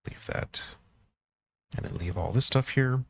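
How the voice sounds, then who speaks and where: A man giving a casual software walkthrough, thinking aloud informally as he works through each step. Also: medium pitch, measured pace. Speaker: tutorial presenter.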